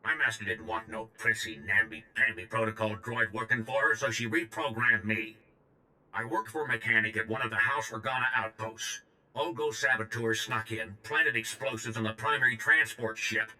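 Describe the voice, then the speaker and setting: A man's voice: fairly low pitch, monotone, normal speed, sharp tone, low-quality recording. A man playing a brash, slangy reprogrammed droid in a video game, talking bluntly and informally with a robotic edge. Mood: brash, urgent. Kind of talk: video game character dialogue.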